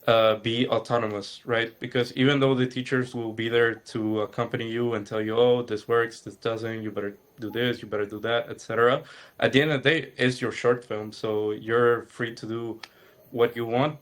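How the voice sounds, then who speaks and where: Relaxed young man from Guatemala. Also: mid-range voice, moderate pace. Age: young adult. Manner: relaxed and earnest, with some fillers. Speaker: animation student. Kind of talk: casual account given in a webinar.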